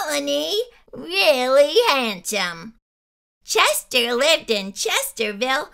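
A woman voicing a vain, bossy, mischievous male cat in a squeaky, childlike voice for a storybook. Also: vocal fry, bright, forceful tone, heavily acted. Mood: boastful. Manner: boastful, defiant, drawn-out delivery.

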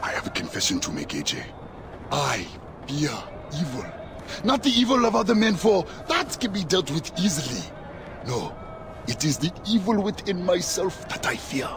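An actor playing a zealous, middle-aged preacher haunted by sin in a video game, with a grandiose, sermonizing delivery. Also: male voice, sits high, huge pitch swings, normal speed, exaggerated voice. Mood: fervent. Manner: fervent, grandiose, quoting scripture like a sermon. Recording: game audio with clear outdoor ambience noise.

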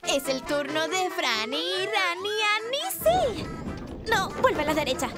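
An easygoing young cartoon bear, talks slowly in a very high voice that swings widely in pitch. The character sounds curious and cheerful. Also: big pitch swings, exaggerated voice. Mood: cheering. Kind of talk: children's cartoon dubbing. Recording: voice over children's cartoon music.

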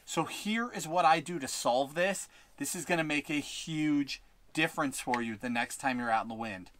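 A man's voice: on the high side, normal speed, a bit bright, no room sound. Upbeat young man teaching on a windy shore. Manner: instructive, upbeat. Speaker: fishing vlogger. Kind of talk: outdoor tutorial vlog. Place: windy lakeshore outdoors. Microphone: head-mounted action camera.

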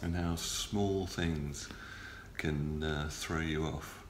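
A middle-aged man with a cold, recording a halting, matter-of-fact video diary from a hospital room on a front-facing camera, with many ums and uhs. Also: extremely low pitch, fairly even intonation. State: has a cold, stuffy. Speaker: patient video blogger. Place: small hospital room, indoors. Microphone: phone or camera close up, front-facing. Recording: roomy with faint background hum.

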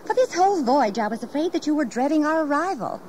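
Anxious genteel young wife, very expressive. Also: female voice, high-pitched, fast talker. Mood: worried. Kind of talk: historical audio drama acting. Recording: noisy 1980s audio drama tape.

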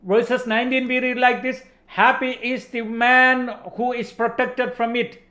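A man's voice: extremely high pitch, little pitch movement, measured pace, sharp tone, reverberant. A man in his forties with an Indian accent preaching emphatically in a motivational religious talk, repeating phrases for stress. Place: indoor studio set.

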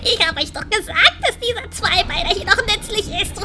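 A man voicing a small, impish, excitable dragon with a squeaky, gleeful, mocking voice in a fantasy audio drama. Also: slight background noise, full character voice. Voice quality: squeaky, shrill.

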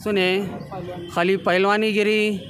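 High-pitched young Hyderabadi man, insistent. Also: level tone, slow. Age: young adult. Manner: insistent, repetitive, often urging listeners to hear them out. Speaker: injured attack victim giving a statement. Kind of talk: spontaneous interview account to reporters. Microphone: handheld reporters' microphones held close. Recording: street-interview sound with crowd bustle.

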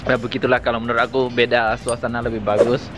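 A man's voice: medium pitch, little pitch movement, fast talker, loud music behind the voice. A young man hosting a casual travel vlog, speaking enthusiastically outdoors into a handheld camera. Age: young adult. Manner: enthusiastic, exclaiming. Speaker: vlogger, host. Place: outdoors at night in a hot spring town. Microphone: handheld camera at arm's length.

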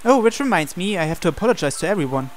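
Male reader, fairly high voice, performing a visual novel protagonist's lines and inner narration over music. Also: big pitch swings, very fast. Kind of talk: reading visual novel dialogue and narration aloud in character. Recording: music playing under the voice.

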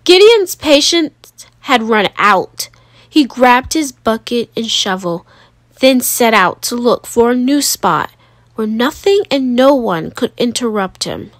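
Unhurried, animated woman reading a storybook. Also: a little high, no room sound, clean studio sound. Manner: deliberate, dramatic emphasis on single words. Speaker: storybook narrator. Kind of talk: expressive children's read-aloud narration.

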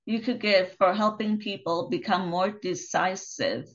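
A woman's voice: medium pitch, fairly even intonation, unhurried. Woman host asking brief questions, lo-fi. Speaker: podcast host. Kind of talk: interview questions.

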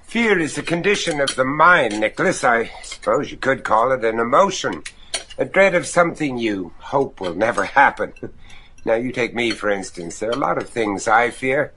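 An actor playing a grave, anxious judge in a gothic horror radio play, with theatrical, deliberate delivery. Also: male voice, medium pitch, expressive, sharp tone, a little hiss or hum. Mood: grave, reflective. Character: grave, anxious judge searching for his missing son.

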